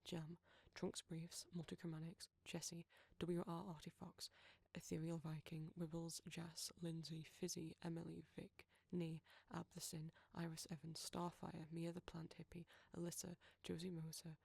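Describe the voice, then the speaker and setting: British creator for scripted thanks and credits: level intonation, noticeable vocal fry, no room sound. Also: mid-range voice, monotone, normal speed, hardly any pauses, a little mellow, no echo. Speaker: audio roleplay creator.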